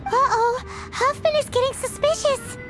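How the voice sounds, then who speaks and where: A woman voicing a small, excitable, childlike fairy companion in an anime-style game, exclaiming cutely with music behind her. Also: very high voice, normal speed. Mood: alarmed. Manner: exclamatory, cute cartoon delivery.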